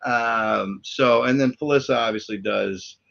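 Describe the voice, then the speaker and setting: A man, a voice actor and co-creator, talking casually and haltingly on a video call. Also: mid-range voice, vocal fry, fairly bright tone. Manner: halting, filler-heavy. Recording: dull video-call audio.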